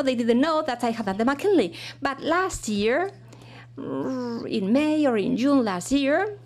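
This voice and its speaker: Woman, simultaneous interpreter, high pitch, hesitant delivery with fillers. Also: a little mellow. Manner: halting, with fillers and frequent restarts. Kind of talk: live interpretation of a keynote talk.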